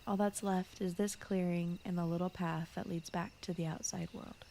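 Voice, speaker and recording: A woman voicing a gentle, shy fox spirit who guards a forest, speaking softly and often in whispers in a soothing bedtime ASMR roleplay style. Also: on the low side, fairly even intonation, normal speed, slight background noise. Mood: shy, rambling. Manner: soft-spoken, often slipping into whispers, rambling, apologetic. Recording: clear night cricket and forest ambience. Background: crickets, insects, night forest ambience.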